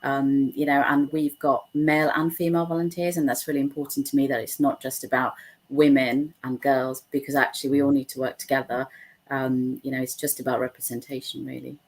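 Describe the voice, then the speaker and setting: A woman's voice: extremely low pitch, little pitch movement, a little fast, low-quality recording. A woman from Sri Lanka, chatting as a guest on a video call from a room at home, talking freely with many fillers and restarts. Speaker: guest, founder of an aviation charity. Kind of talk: casual video-call interview. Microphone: laptop webcam on a video call.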